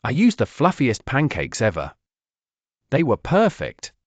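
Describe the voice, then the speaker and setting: A man's voice: medium pitch, huge pitch swings, a little slow, no room sound, highs cut off. Man playing dramatic, eager young pancake cook. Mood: dismayed.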